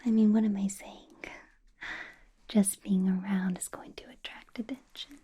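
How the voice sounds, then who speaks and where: A woman voicing a possessive, teasing female vampire boss in an ASMR roleplay, whispering softly in a playful, controlling way. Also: mid-range voice, flat delivery, normal speed, breathy edge. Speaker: ASMR artist.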